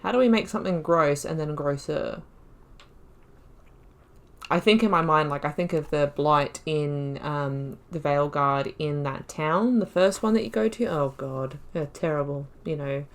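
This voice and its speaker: A woman talks through a video game as she plays, thinking aloud in a loose, chatty way. Her voice sits a little low, and she laughs often. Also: a little slow. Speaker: YouTuber playing a video game. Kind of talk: let's-play commentary.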